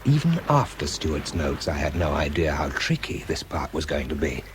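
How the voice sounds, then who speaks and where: An actor with a British accent playing a tense, self-doubting wartime bomb disposal man in a radio drama, shifting between clipped reports and quiet confession. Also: very deep voice, very animated, normal speed, background noise. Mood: quiet, reflective. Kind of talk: radio drama acting, first-person narration and spoken report.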